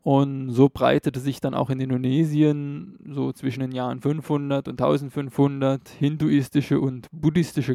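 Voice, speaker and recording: Gravelly-voiced man explaining casually. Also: medium pitch, mellow, no room sound, clean studio sound. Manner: loose explaining with fillers and self-corrections. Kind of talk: casual educational talk.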